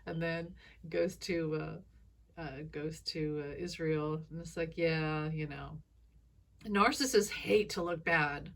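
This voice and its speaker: A woman speaks alone into a phone, her voice scratchy from allergies and sitting a little low. She rambles from thought to thought in a loose, casual monologue, dropping chuckles along the way. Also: fairly even intonation, slow pace. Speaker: psychic commentator.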